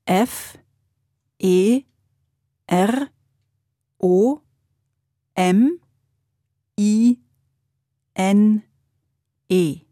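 A woman answering questions slowly and carefully in a scripted language-course dialogue. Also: mid-range voice, mellow, no echo, studio quality.

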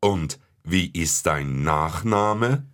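A man asking plain, friendly questions in a slow scripted language-course dialogue. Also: low voice, expressive, fairly bright tone.

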